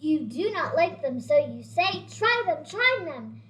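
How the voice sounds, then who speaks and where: Tiny persistent storybook pest voiced by a woman, very high, slow and coaxing, in a roomy echo. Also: very animated, a bit bright, exaggerated voice. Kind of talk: children's read-aloud character voice. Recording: roomy, echoey sound.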